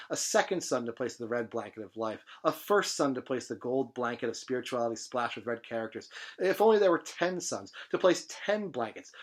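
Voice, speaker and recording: A man with a mid-range voice reads a novel aloud. His delivery is expressive and dramatic, with emphatic phrasing. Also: medium pitch. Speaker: presenter reading a novel aloud. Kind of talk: expressive literary reading.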